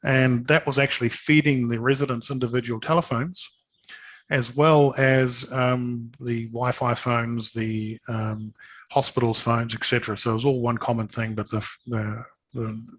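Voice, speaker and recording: A man giving an informal sales presentation of products, speaking hesitantly and haltingly, often repeating words. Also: medium pitch, level tone.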